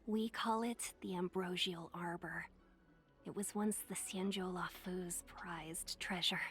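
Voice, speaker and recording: A woman voicing a serene, solemn guide in a video game, soft, breathy and reverent. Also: on the low side, fairly even intonation, takes it slowly.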